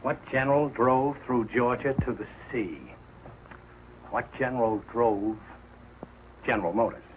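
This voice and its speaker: A man's voice: medium pitch, unhurried, lightly acted. An actor playing a bumbling, exasperated middle-aged working-class father in a 1940s television sitcom. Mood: puzzled, studious. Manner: blustering, ungrammatical, hesitant when cornered. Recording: muffled, noisy old television soundtrack.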